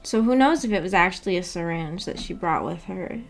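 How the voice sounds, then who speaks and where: A woman hosting a casual livestream discussion, musing tentatively out loud with a giggly manner. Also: on the low side, animated, brisk, vocal fry. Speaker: host of a book club stream.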